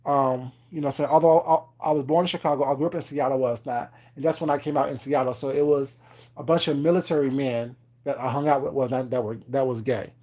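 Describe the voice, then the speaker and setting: A man's voice: mid-range voice, steady tone, fast, a little mellow. Chatty man, fillers and teasing. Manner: rambling, many fillers and "you know what I'm saying"; laughs often, teasing viewers. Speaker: live stream host chatting with viewers. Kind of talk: casual chat.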